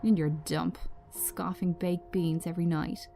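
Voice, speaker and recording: A woman's voice: fairly low pitch, level tone, a little slow, creaky, warm tone, soft background music. A woman voicing a menacing, jealous ex-boyfriend with a taunting, contemptuous manner, in a read screenplay. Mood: sneering contempt. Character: menacing, sneering, jealous abusive ex-boyfriend, adult man.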